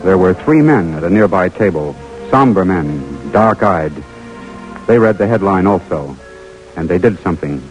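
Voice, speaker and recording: Radio play lieutenant, forties: low, restrained, measured military delivery, soft music beneath. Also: male voice, lively intonation, muffled recording. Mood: measured, suspenseful. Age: middle-aged. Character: composed, dutiful forty-year-old army lieutenant narrating his mission. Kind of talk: old-time radio drama acting and narration. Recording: old radio drama, faint music.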